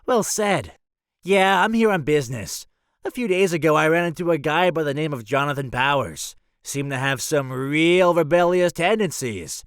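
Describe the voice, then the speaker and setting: A man's voice: on the high side, lively intonation, normal speed, a bit bright, clean tone, no echo, clean studio sound. A man playing a roguish, flippant mercenary leader with a theatrical swagger in an audio drama. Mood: smooth, businesslike.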